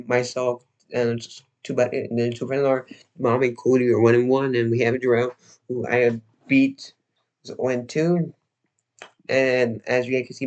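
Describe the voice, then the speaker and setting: A young man hosting a casual livestream, slightly hoarse and losing his voice, talking in a rambling, halting way full of restarts and fillers. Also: mid-range voice. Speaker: livestream host.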